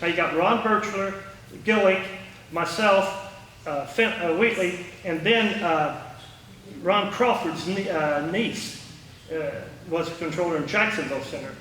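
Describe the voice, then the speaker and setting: A man with a Midwestern American accent and a bright, forceful, slightly high voice gives an informal talk. He rambles and halts as he reminisces, jumping from thought to thought. He is picked up from a distance in a roomy space. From small-town Indiana, United States. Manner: rambling, halting, jumps between thoughts. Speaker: retired air traffic controller giving a talk. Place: echoey meeting room at a historical society. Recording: roomy, distant mic with faint noise.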